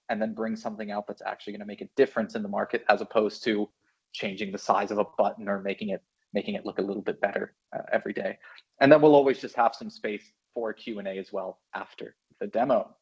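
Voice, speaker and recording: A man's voice: fairly low pitch, steady tone, quick pace, darkish tone, slightly rough. A man from Belgium with an American-sounding accent, giving an upbeat, chatty product presentation. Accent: American-sounding English. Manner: upbeat, chatty, self-deprecating. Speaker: channel business manager presenting a product. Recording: dull lo-fi sound.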